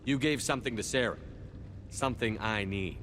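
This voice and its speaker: Man as firm space commander, slightly low voice. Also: normal speed, a bit bright, slight background noise, close to an everyday voice. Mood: demanding. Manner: terse, firm. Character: resolute, blunt male military commander in space. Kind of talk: video game voice acting.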